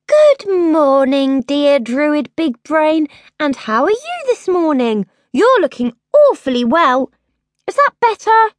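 A woman's voice: high-pitched, huge pitch swings, moderate pace, no room sound, studio quality, pitched above the performer's own voice. A woman voicing a cheeky, rude little girl princess in a storybook reading, bratty and defiant. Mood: sulky.

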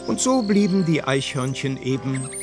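A man's voice: medium pitch, animated, moderate pace. Male storybook narrator over music. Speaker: audiobook narrator. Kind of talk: scripted children's storybook narration.

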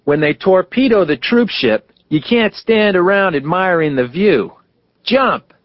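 A man's voice: sits high, animated, unhurried, no room sound, highs cut off, voice pushed up. A man voicing a bold, exuberant teenage schoolboy who goads his friends on, in an audiobook. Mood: goading. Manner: daring, exuberant, goading. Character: bold, brash, eager seventeen-or-younger schoolboy athlete. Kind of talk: audiobook character dialogue.

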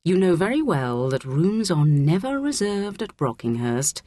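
A woman voicing a calm, kindly but firm female head teacher in a children's audiobook. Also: fairly low pitch, a little slow. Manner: calm, authoritative.

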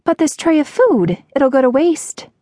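A woman's voice: high-pitched, big pitch swings, unhurried, mellow, dry recording, studio quality, voice pushed up. A woman voicing a timid, anxious young waitress in a storybook, speaking hesitantly and pleadingly. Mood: fearful, hesitant. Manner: hesitant, breathless stammering, pleading.

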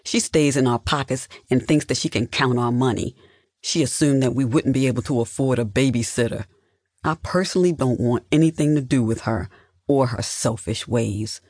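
Narrator as indignant husband, blunt, emphatic, husky, audiobook dialogue, dry sound. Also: mid-range voice, moderate pace, a little mellow, no room sound, lightly acted. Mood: bitter, frustrated. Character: indignant, protective husband, adult man.

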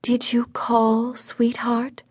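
Woman voicing a fluttery, high-pitched doting housewife. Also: big pitch swings, slow, husky, no room sound, studio quality, heavily acted. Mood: cooing, tender. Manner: chirpy, effusive, over-sweet.

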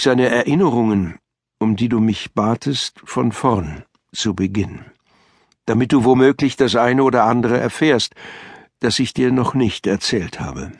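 Low-voiced, reflective old man of letters. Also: no room sound, studio quality. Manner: reflective, rhetorical, measured literary phrasing. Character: elderly male writer writing his last letter, reflective, wistful. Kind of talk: first-person audiobook memoir narration.

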